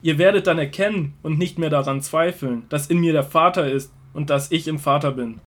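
A man playing a calm, authoritative teacher in a scripted Bible audio drama, speaking in a measured, earnest way. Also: a little high, close to an everyday voice. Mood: firm, challenging.

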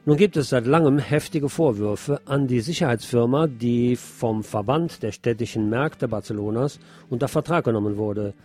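A man presenting a scripted radio news commentary, reading out press reports in a measured, explanatory way. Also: mid-range voice, warm tone, faint music behind.

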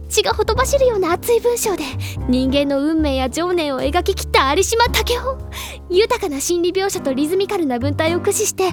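A bubbly, stubborn schoolgirl bookworm in an anime-style audio drama. The voice is very high and swoops with lively, theatrical enthusiasm as she lectures and gushes. Music plays behind her. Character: bubbly, stubborn, literature-obsessed high school girl, club senior.

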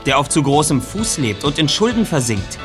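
Sharp-witted investigator guy, slightly high voice, insinuating, radio play, faint music under him. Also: very animated, normal speed. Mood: accusing, confident. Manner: pointed, insinuating. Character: shrewd accusing investigator confronting a crook. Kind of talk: German audio drama acting.

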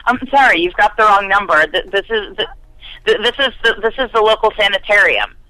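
A woman's voice: mid-range voice, brisk, sharp tone, no room sound, rough recording. A woman calling in to a phone show, chatting casually. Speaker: caller.

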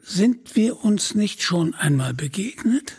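Ein Mann spricht in einem Hörbuch einen bedrohlichen Sheriff, herablassend und scheinbar höflich. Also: male voice, high voice, big pitch swings, slow pace, a little mellow, slightly rough, exaggerated voice. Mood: poisonously polite. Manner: falsely courteous, condescending. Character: smooth-talking, menacing male sheriff villain. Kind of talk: dramatised audiobook narration.

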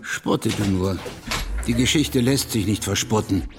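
A man's voice: lowish voice, a little slow, noisy background, lightly acted. An actor playing a bitter, foreboding reactionary man in a radio drama, speaking in accusatory rhetorical questions. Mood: scornful. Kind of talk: dramatic radio play monologue. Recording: studio radio play with loud music.

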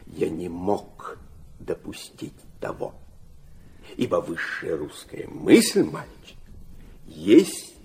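An actor playing an anguished, idealistic middle-aged nobleman in a radio drama, confiding and philosophizing in a theatrical, halting manner. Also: low-pitched, vocal fry, a little mellow, slight background noise, full character voice.

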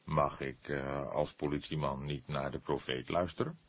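A man voicing a calm, slyly polite old police detective in an audiobook, measured and solemn in manner. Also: a bass voice, pitched below the performer's own voice. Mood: solemn, mock innocent. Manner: measured, solemn, feigned innocence, hesitant pauses.

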